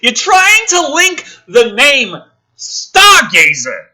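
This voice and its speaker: A man doing a ranting commentary as he reads through a script, exasperated and heavily profane, breaking into shouted outbursts. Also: very high-pitched, wildly swooping pitch, slow pace. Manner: swings between muttered reading and shouted outbursts. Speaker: host of a gaming and film commentary channel.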